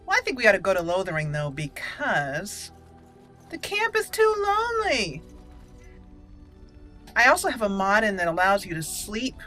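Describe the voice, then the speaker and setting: A woman's voice: medium pitch, huge pitch swings, moderate pace, pauses often, sharp tone, soft background music. A woman hosting a roleplay let's play, chatting casually and playfully as she thinks aloud. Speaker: game roleplay channel host.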